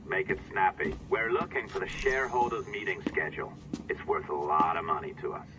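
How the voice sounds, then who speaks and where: Shady volatile hacker for a video game, deep, very animated, sardonic and bossy. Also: male voice, poor audio. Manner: sardonic, menacing, mock-friendly. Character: sly, volatile male conspiracy-minded hacker and blackmailer. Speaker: hacker accomplice giving orders over an earpiece.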